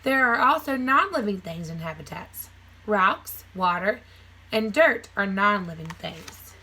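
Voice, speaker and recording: A woman's voice: mid-range voice, lively intonation, measured pace, bright, forceful tone, a little hiss or hum, low-quality recording. A woman teacher reading a children's nonfiction book aloud, phrasing it simply for young listeners with casual asides.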